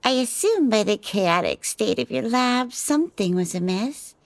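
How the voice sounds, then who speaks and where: An actress playing a composed, cunning woman who speaks in a measured, formal way in an audio roleplay. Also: fairly high pitch, expressive, dry recording. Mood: calm, scheming. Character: composed, cunning woman, devoted partner and schemer. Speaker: lead character in an audio drama. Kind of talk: ASMR audio roleplay acting.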